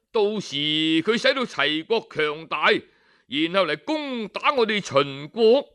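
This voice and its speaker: A male storyteller plays a calculating royal minister in a storybook tale. His voice is clean and smooth, and he makes his case earnestly at an unhurried, deliberate pace. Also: high voice, pushed and bright, full character voice. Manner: deliberate, earnest persuasion of a ruler. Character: wary, calculating male chief minister of an ancient kingdom. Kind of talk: storybook character dialogue.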